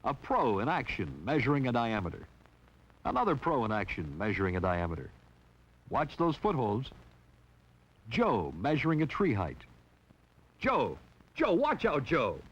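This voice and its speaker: A man's voice: medium pitch, husky. A man narrating a 1960s training film in a wry, teasing scripted style. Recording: dull lo-fi 1960s film soundtrack.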